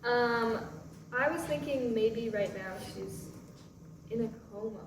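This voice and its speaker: A woman's voice: a little high, slow. A woman actor chatting casually at a post-show question session. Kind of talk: casual chat. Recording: echoey room, distant, noisy.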